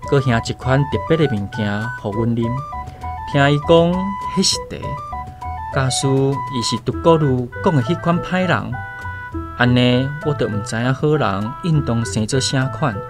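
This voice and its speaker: A man's voice: mid-range voice, normal speed, natural voice. An actor playing a plainspoken, thoughtful male islander who recounts his story in a radio drama, with a Taiwanese accent. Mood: reflective, warm. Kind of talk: first-person radio drama narration. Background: faint guitar music.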